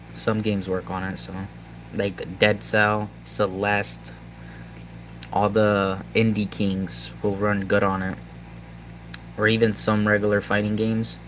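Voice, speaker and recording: A man with a Puerto Rican accent chatting casually to his viewers about a handheld gaming device, halting with lots of ums and restarts. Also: on the low side, a bit bright, a little hiss or hum, poor audio. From Puerto Rico. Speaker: tech vlogger. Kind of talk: casual rambling tech talk to viewers.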